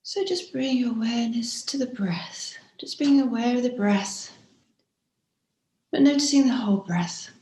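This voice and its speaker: A woman guiding a body scan meditation as a teacher, speaking gently and softly, unhurried, with frequent pauses and breathy phrase endings. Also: a little high, expressive, slight background noise. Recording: roomy, echoing room sound.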